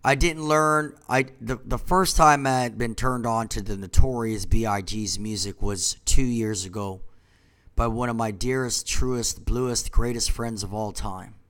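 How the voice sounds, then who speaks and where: Stuffed-up man, loose rambling monologue, drifts into mumbled asides, medium pitch. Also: unhurried. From Washington, D.C. area. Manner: rambling, trailing off into quiet asides. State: congested. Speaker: company founder.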